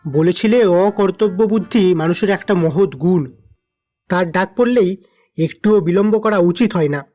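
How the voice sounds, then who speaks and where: A man's voice: high-pitched, measured pace, warm tone. An actor playing a teasing, deferential young man in his twenties, cheeky and coaxing, in an audio drama. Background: music.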